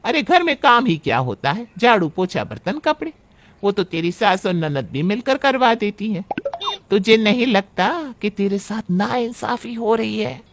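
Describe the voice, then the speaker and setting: A man voicing a scheming, sharp-tongued middle-aged aunt in a cartoon family story, with theatrical, drawn-out, needling delivery. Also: high voice, big pitch swings, slight background noise, pitched above the performer's own voice. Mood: sly, needling.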